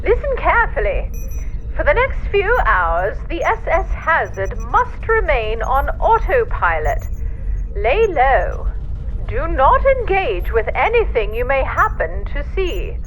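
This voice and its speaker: A woman's voice: high-pitched, huge pitch swings, slow pace, a bit bright, highs cut off, soft background music, full character voice. A woman playing a haughty, curt galactic empress in a sci-fi comedy audio drama. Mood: stern, secretive. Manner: haughty, commanding.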